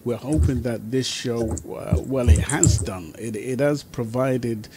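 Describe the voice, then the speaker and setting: A man hosting an informal radio talk show in a hesitant, repetitive way over an online call. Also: unhurried. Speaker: talk show host and music producer. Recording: rumbly, noisy online conference call.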